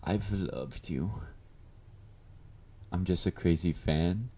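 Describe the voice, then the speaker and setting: Casting: male actor for a clingy yandere admirer in audio roleplay, dark soft timbre, slow delivery, flirty and self-assured. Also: deep voice. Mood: confident, doting. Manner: doting, confident, coaxing with pet names. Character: obsessive yandere male fan, clingy, flirty, confident stalker. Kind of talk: intimate roleplay monologue addressed to the listener.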